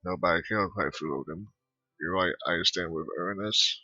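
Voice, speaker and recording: Low guy rambling over his game, kinda hesitant, dry muffled mic. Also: a little fast, bright, forceful tone, husky, no echo, soft background music. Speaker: gamer playing and commenting. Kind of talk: casual game commentary, reading choices aloud.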